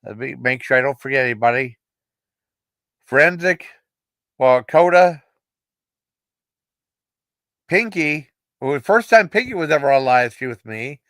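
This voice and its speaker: A man of ordinary mid-range pitch hosts an informal livestream. He rambles along conversationally, often falling back on short acknowledgments. The sound is dry, with very little room in it. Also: lively intonation, a little slow, pauses often, fairly bright tone, dry recording. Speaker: livestream host. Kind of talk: casual livestream chat and sign-off.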